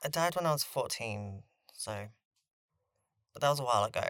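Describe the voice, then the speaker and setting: Teen boy survivor, fantasy audio drama female actor. Guarded, casual, defensive; lively intonation, dry close recording. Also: extremely low pitch, moderate pace, dry recording. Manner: casual, slightly defensive teenage talk. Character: guarded fourteen-year-old boy, resourceful ghostly survivor.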